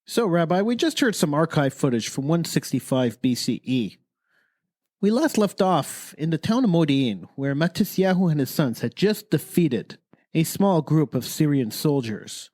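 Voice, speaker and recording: A man's voice: on the high side, sing-song, normal speed, darkish tone. A man hosting a radio program and putting questions to his guest in a scripted style. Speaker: radio host.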